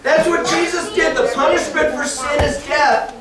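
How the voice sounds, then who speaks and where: A middle-aged man teaching a children's Bible lesson, animated and talking straight to the kids, often repeating his phrases. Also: extremely high pitch, moderate pace, over loud music. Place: large classroom with kids seated. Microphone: far camera, no mic seen. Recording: echoey room from far off, kids chattering.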